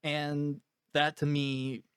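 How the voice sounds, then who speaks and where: A man hosting an interview, putting his thoughts and questions in measured, somewhat formal phrasing. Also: on the high side, completely flat delivery, a bit bright, clean tone, dry recording, studio quality.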